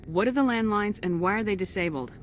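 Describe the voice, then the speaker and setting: A woman playing a brisk military commander in a video game, speaking in a direct, businesslike way. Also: medium pitch, soft background music, lightly acted.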